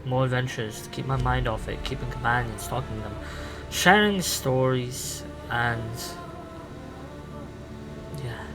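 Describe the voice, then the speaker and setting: A man playing a weary, haunted time-travelling alien adventurer and mentor in a sci-fi roleplay, speaking earnestly with halting, emphatic phrasing. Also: medium pitch, music in the background, natural voice, poor audio.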